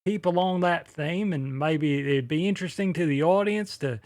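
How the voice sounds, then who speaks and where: A man's voice: fairly high pitch, moderate pace, darkish tone, no room sound, studio quality, natural voice. A man doing a put-on as a chummy male podcast host, bantering in improvised comedy and calling his co-host mate. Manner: chummy, says mate a lot. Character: cheery male Aussie podcast host bloke.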